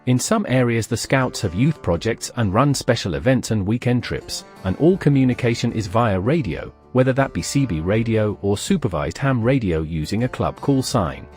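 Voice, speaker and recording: Lively-pitched male documentary narrator. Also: moderate pace, hardly any pauses, faint music behind.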